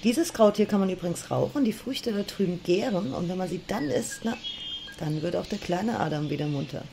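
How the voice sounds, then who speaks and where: A woman's voice: fairly low pitch, expressive, fairly quick, vocal fry, background noise. A woman acting a bossy, teasing and curious Eve in a comedic audio drama. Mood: mocking. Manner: sassy, teasing.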